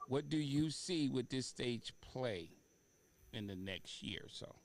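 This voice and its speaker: A man hosting a talk show in a conversational interviewing style, often halting as he searches for words. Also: mid-range voice, animated. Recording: noticeable background room noise.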